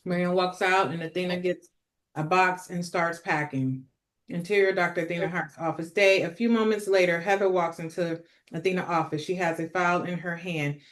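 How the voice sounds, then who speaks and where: A woman's voice: fairly low pitch, steady tone, normal speed. A woman directing a table read and narrating its stage directions from a script.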